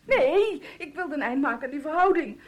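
An actress playing an anxious, high-strung wife in a radio play, agitated and emotional. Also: female voice, lively intonation, moderate pace. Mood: defensive, upset. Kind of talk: Dutch radio play dialogue.

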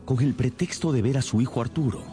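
An actor playing a shrewd, self-assured detective in a radio drama, explaining things in a deliberate, theatrical manner. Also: male voice, medium pitch, warm tone, faint music behind, lightly acted. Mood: assured, explanatory.

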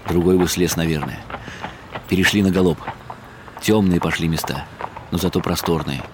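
A man's voice: low voice, steady tone, normal speed, noisy background, close to an everyday voice. An actor playing a weary, wry middle-aged writer who narrates his own story in ironic inner monologue for a radio drama. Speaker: narrator and lead character.

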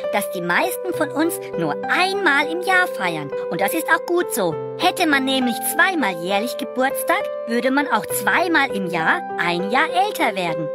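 An actor voicing a cheerful, scatterbrained young cartoon mouse in a children's audio play, excitable and naive. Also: sits high. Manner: excitable, naive, hesitant speechmaking.

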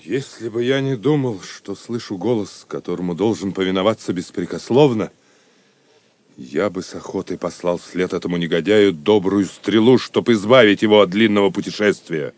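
Bold outlaw archer, loyal, earnest, formal theatrical delivery, lowish voice, dry studio sound. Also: male voice, normal speed, darkish tone, dry recording. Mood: restrained, resentful. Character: bold loyal outlaw archer, respectful before his king. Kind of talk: theatrical audio drama acting.

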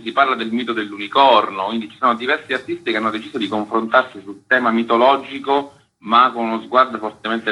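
Bright, husky low-voiced man. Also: low-quality recording. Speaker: festival curator being interviewed. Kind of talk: explanatory radio interview answers.

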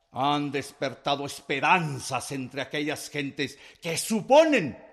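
An actor playing a formal, stern interim president giving a solemn, emphatic, measured political speech in a radio drama. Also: male voice, on the high side. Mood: grave. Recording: long echoing hall reverb.

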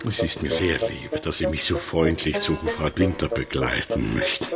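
A man's voice: rumbling low, moderate pace, over music. An actor in a radio play playing a flustered, deferential local man with hesitant, old-fashioned formal politeness. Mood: courteous. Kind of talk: German radio play acting.

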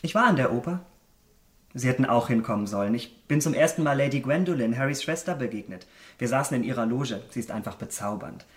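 An actor playing a vain, self-absorbed young gentleman in a German radio drama, speaking eloquently and theatrically. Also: mid-range voice, animated, fairly quick, lightly acted. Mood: light, evasive. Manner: theatrical, eloquent, declaiming aphorisms.

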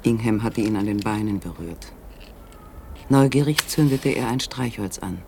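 A man narrating a literary radio play in a measured, suspenseful storytelling manner with dramatic pauses. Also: fairly low pitch, darkish tone, slight background noise. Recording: faint background ambience.

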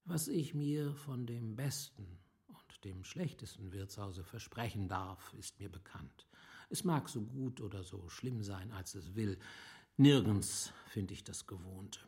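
An actor playing a worldly, resigned older gentleman guest in a radio play, speaking in a measured, reflective way with a creaky voice. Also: on the low side. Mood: philosophical, contented. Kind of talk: literary radio play dialogue.

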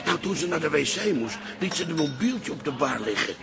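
A man acting in a radio drama as a chatty, excitable father who runs a photo studio and likes to tease. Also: fairly high pitch, fairly quick, gravelly. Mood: teasing.

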